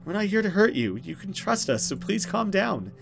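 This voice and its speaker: A man's voice: high voice, sing-song, normal speed, vocal fry, music in the background, pitched above the performer's own voice, full character voice. A man voicing a protective, earnest young woman full of questions in a horror visual novel. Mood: soothing.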